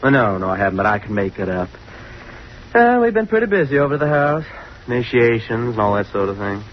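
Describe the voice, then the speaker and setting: Through a dull, faintly hissy old radio recording comes a smug young college man , played by an actor. He talks at a middling pitch in slangy, dismissive turns, scornful as a fraternity snob in a vintage drama. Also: sing-song, quick pace, natural voice. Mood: casual, evasive. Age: young adult.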